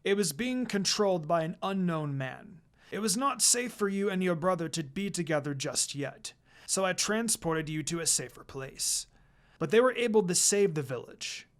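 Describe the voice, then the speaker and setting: A reader voicing a kindly old village elder who speaks in a gentle, measured, reassuring way. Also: medium pitch, animated, lightly acted. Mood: solemn, reassuring.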